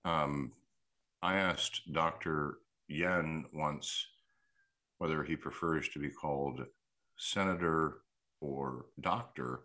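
A man hosting a casual discussion, talking in a measured, thoughtful way with many pauses. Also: extremely low pitch, pushed and bright.

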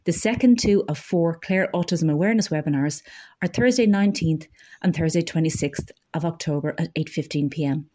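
Mellow, low-voiced woman reading news. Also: fairly quick, a little hiss or hum. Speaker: community radio newsreader. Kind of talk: scripted local news reading.

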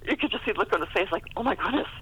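A woman's voice: medium pitch, rapid-fire, breathy edge, low-quality recording. A middle-aged woman chatting casually as a guest author, telling stories in a chatty way. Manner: chatty, animated storytelling. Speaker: guest author being interviewed. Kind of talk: casual chat about writing.

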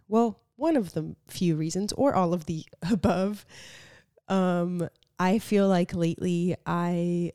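A woman's voice: on the low side, mellow, dry recording, clean studio sound. Congested, nasal young woman podcaster. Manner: open, heartfelt, frequent "like" fillers. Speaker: podcast host. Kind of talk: casual solo podcast talk. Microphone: studio podcast mic.

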